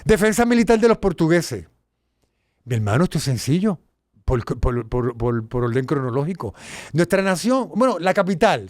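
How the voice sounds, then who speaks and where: Puerto Rican male host, animated and emphatic, lively pitch, dry studio sound. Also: no echo. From Puerto Rico. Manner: animated, emphatic, swings between low asides and loud exclaims. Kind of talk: casual educational talk.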